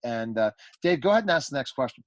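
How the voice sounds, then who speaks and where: A man with a mid-pitched voice hosts a radio talk show in a very dry recording. He speaks effusively and conversationally, rambling and doubling back on his own phrases. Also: animated, fast talker, dry recording.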